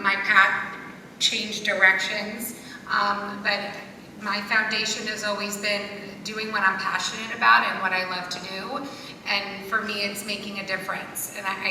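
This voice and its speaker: Even-toned woman panelist in a roomy, echoing hall. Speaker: panelist, social worker. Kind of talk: informal panel talk. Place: school theater stage, seated at panel table. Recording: echoey hall, far off, noisy rumble.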